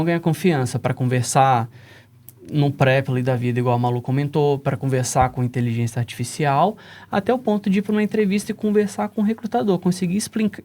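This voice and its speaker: A man's voice: on the high side, darkish tone. A man with a Brazilian accent, explaining emphatically as a guest on a casual panel talk. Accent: Brazilian Portuguese. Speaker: panelist, English teaching founder.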